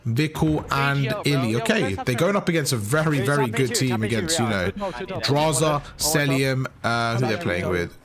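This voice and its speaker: A man giving casual, slangy gameplay breakdown commentary as a video host, with a slightly croaky, sore throat. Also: mid-range voice, lively intonation, normal speed, a loud crowd. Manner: casual, slangy, repeats stock phrases. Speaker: gaming YouTuber, host.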